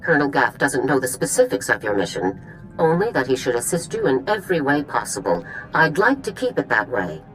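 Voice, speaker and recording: A no-nonsense general on comms, low deep voice but very expressive, faint music under. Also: female voice, brisk, exaggerated voice, poor audio. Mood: commanding. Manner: crisp, authoritative briefing. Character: stern, commanding female army general. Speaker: general giving a briefing.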